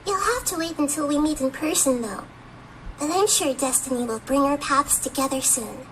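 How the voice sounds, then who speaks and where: A curious little game-character girl with a very high voice. She speaks in a gentle, whimsical, measured way, like someone wise beyond her years, over clear background ambience. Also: animated, background noise. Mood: curious, inviting. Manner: whimsical, measured, storybook phrasing. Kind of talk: scripted game trailer dialogue.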